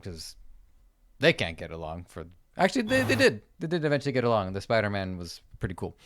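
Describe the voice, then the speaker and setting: A man on a podcast speaks in a fairly low voice. He halts and searches for his words, then slips in dry jokes. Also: sing-song, quick pace, a bit bright, slight background noise. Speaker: podcast co-host. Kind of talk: casual chat.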